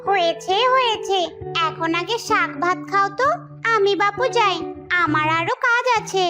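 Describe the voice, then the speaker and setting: Woman voicing a high-pitched nagging village wife. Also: unhurried, music in the background. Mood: impatient. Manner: scolding, complaining, colloquial. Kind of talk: children's cartoon voice.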